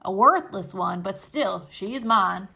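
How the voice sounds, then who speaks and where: A woman's voice: mid-range voice, lively intonation, unhurried, vocal fry, bright, forceful tone, slightly rough, heavily acted. A woman voicing a poor, grumbling older man who exclaims in indignation. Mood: indignant.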